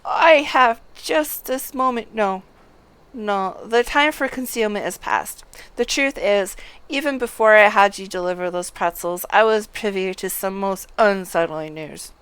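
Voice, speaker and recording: An earnest quest-giver from a fantasy game , read aloud by a woman. The delivery is slow, formal and dramatically grave, in a medium pitch. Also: fairly bright tone, smooth, close to an everyday voice. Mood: grave, urgent. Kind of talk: reading game dialogue aloud.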